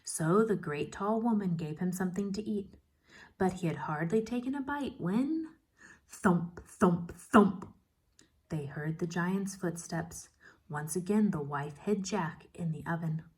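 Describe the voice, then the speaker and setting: A woman teacher reading a children's story aloud expressively, pausing to ask questions and explain. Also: fairly low pitch, a little mellow, slightly rough. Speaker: first grade teacher.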